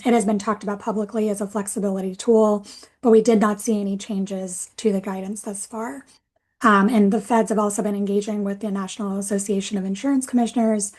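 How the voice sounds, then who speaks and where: Woman presenting policy in a webinar. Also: medium pitch. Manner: explanatory, steady, with frequent fillers.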